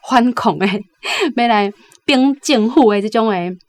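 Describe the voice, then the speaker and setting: A woman's voice: fairly high pitch, a little slow, no echo. A woman with a Taiwanese accent giving conversational, explanatory literary commentary as a programme guest.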